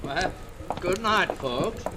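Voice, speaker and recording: An actor playing a kindly older café owner, hesitant and courteous, in 1940s radio-drama style. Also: male voice, high-pitched, expressive, slow pace, very noisy. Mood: gentle, subdued. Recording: old radio broadcast recording.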